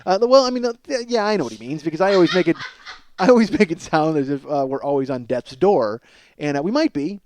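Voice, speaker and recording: A man in his forties hosting a comedy podcast, rambling in an animated, profane monologue and often cutting himself off. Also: a little high, fairly quick, slight background noise. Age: 40s. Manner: animated, emphatic, self-interrupting riffing.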